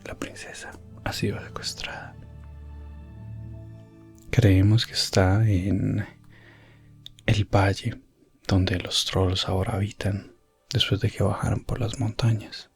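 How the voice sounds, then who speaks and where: Man voicing a breathy, hushed, grave queen. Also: fairly low pitch, level tone, whispered, faint music behind, heavily acted. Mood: grave, worried. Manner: hushed, formal, halting. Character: grave, guarded queen pleading for her kidnapped daughter. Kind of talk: whispered ASMR fantasy roleplay.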